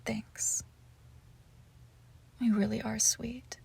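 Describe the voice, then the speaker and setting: Female performer as clingy, doting witch for ASMR audio drama; unhurried, husky delivery with whispered tenderness. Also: a little high, little pitch movement, heavily acted. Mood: shy, playful. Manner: soft, breathy, often slipping into whispers, tender cooing. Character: lovesick possessive forest witch, doting, lonely, clingy. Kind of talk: intimate ASMR roleplay, scripted monologue.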